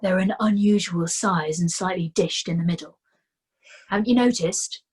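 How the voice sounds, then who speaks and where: A shrewd old watch commander, brisk and wry, with a dry mock cheerfulness, as a woman reader puts him on. The voice is a little husky and breathy. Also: fairly low pitch, a little mellow.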